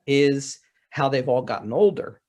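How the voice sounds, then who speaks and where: A man's voice: medium pitch, unhurried, a little mellow. Conversational man with a mid-range voice. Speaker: convention panelist. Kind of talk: conversational panel discussion.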